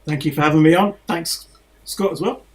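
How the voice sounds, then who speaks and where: A man's voice: a little high, brisk, a little mellow. A man, a musician guest, chatting cheerfully and telling a story over a video call. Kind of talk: casual chat and short song.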